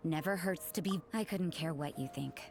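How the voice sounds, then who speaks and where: An actress playing a cool, cynical church sister in a video game, deadpan and sardonic. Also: female voice, low-pitched, normal speed, over music. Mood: suspicious, aloof.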